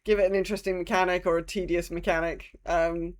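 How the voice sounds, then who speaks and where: A woman's voice: lowish voice, flat delivery, fast. A woman co-hosting a podcast, chatting casually about a video game in a wry, rambling way that can turn sweary. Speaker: podcast co-host.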